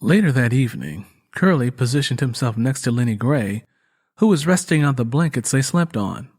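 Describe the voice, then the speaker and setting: A man's voice: medium pitch, lively intonation, moderate pace, darkish tone, husky. A man reading scripted, dramatic fiction as an audiobook narrator.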